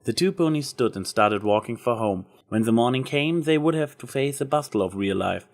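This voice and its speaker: A man's voice: medium pitch, animated, fairly quick. A man reading a story aloud as a scripted narrator. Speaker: narrator, fan fiction reader.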